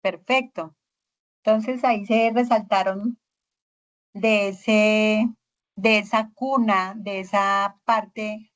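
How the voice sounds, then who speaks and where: Teacher on a muffled video call. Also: female voice, mid-range voice, fairly even intonation, slow pace. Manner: prompting students by name, questioning. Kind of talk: online class discussion. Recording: dull lo-fi call audio.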